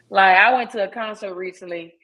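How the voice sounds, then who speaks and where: Woman podcast host, dull lo-fi sound. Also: medium pitch. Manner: chatty and frank, with laughs and filler words. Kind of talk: casual podcast chat.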